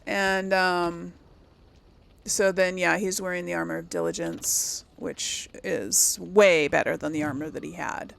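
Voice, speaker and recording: Woman rambling casually, faint creak. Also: lowish voice, steady tone, a little slow, fairly bright tone. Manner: rambling, thinks aloud and self-corrects. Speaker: gaming video host. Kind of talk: casual chat, let's play commentary.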